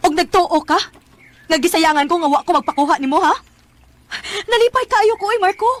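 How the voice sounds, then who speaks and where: High-voiced, emotive young Cebuano girlfriend. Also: animated, normal speed. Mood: bitter, confronting. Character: young woman Hope, lovelorn girlfriend turned firm narrator. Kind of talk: Bisaya radio drama acting.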